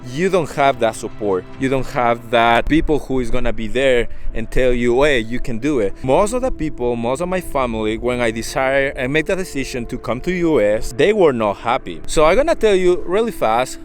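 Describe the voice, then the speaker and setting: A man's voice: mid-range voice, lively intonation, normal speed, few pauses. A man from Ecuador with a Spanish accent tells his personal story in a casual, earnest vlog style, in non-native English. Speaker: vlogger. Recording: music under the voice.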